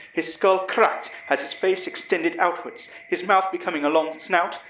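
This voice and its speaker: An earnest old English professor , played by a man, recounts a monster tale in a fairly high voice. It is first-person horror audio drama with a roomy, slightly muffled sound. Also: fairly bright tone, lightly acted.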